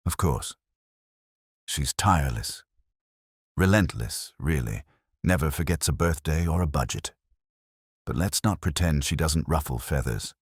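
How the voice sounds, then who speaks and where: Man doing a haughty, low-pitched leading lady. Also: lively intonation, unhurried, long pauses, slightly rough, dry recording, clean studio sound, heavily acted. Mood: tight, dismissive. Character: defensive, haughty suburban amateur-dramatics leading lady.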